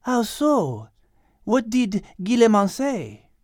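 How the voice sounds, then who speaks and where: Man voicing a high-pitched, refined French lady cat. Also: wildly swooping pitch, takes it slowly, dry recording, clean studio sound, exaggerated voice. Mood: gentle, saddened. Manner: precise, learned, sprinkles in French phrases. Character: refined, clever, gentle French female cat. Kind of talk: audiobook character acting.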